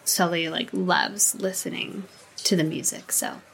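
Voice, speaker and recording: A woman player telling her character's backstory at a very unhurried pace. Her voice is bright and a bit low, and she pauses often with fillers. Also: sing-song, gravelly, slightly rough, rough recording. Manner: unhurried, hesitant, many ums. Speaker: tabletop game player. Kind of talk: casual in-game backstory telling.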